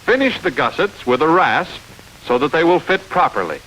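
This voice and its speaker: A man reading scripted instructional narration for a training film, explaining step by step in a clear manner. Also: fairly high pitch, big pitch swings, normal speed, fairly bright tone, poor audio. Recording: hissy old training film soundtrack.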